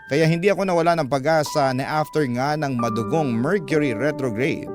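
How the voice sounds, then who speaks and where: A man reading as a lovelorn young letter writer, confiding hopefully in first-person radio narration, in a measured way. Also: on the high side, over music, natural voice. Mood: wistful, hopeful.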